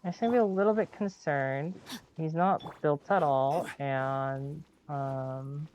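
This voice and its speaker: A woman's voice: low-pitched, slow pace, darkish tone, muffled recording, slight background noise. A woman chatting casually on a game livestream, thinking aloud as she plays and often correcting herself. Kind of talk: casual livestream gameplay chat. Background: video game music.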